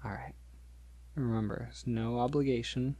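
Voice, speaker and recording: A man playing a polite, gentle vampire in an ASMR roleplay, speaking softly and hesitantly, often breathy and near a whisper. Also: mid-range voice, steady tone, normal speed, no room sound, close to an everyday voice. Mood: gentle, reassuring. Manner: hesitant, reassuring, softly chuckling. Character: polite, awkward, gentle young male vampire. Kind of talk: ASMR roleplay, soft-spoken and intimate.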